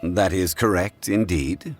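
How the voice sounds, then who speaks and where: An actor voicing a calm, erudite gentleman in a video game, speaking in a measured, formal, courteous way. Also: low voice. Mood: politely firm. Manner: measured, formal, politely contrary. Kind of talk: video game character acting. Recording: loud music behind the voice.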